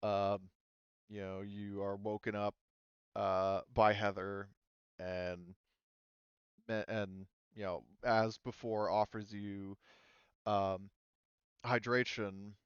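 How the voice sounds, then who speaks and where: A man narrating a tabletop roleplaying game as game master, improvising in a halting way with many pauses. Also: lowish voice, level tone, clear, resonant tone, dry recording, lo-fi sound.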